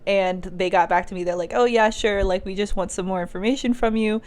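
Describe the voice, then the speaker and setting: A woman's voice: medium pitch, fairly even intonation, fairly quick, a little mellow. Laughing young woman co-host. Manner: laughing, storytelling. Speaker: podcast co-host. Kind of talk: casual podcast chat.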